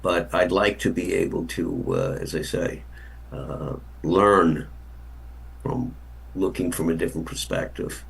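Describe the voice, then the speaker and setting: Man with a very low, raspy, gravelly voice on a distant video call, hesitant and reflective. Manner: halting, thoughtful, full of fillers.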